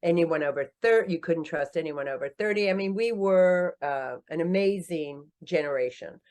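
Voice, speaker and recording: A woman in her sixties, an author and company founder, telling her story in a casual podcast interview, animated and self-deprecating, laughing often and jumping up in pitch when she jokes. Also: normal speed. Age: 60s.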